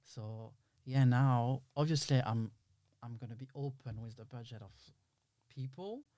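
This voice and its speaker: A man's voice: mid-range voice, measured pace, warm tone, dry recording, clean studio sound. Reflective male podcast guest. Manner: rambling, reflective, quotes imagined dialogue. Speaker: interview guest, filmmaker. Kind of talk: casual podcast interview.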